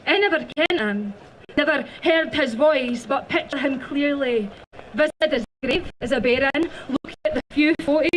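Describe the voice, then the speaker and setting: A woman's voice: sits high, normal speed, slight background noise, low-quality recording. Dundonian woman poet at a rally. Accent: Dundonian Scots. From Dundee, Scotland. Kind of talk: rally speech and poetry reading. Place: outdoor rally stage.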